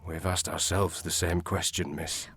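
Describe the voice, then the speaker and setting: Deep, husky, deferential manservant. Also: male voice, flat delivery, fairly bright tone, dry recording, exaggerated voice. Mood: sombre, apologetic. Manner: halting, sombre, respectful. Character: apologetic, deferential manservant of a great house. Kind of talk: period audio drama acting.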